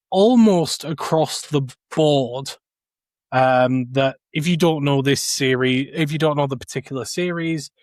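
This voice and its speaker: A man's voice: medium pitch, no room sound. A man chatting casually as a podcast panellist, giving blunt opinions and swearing freely.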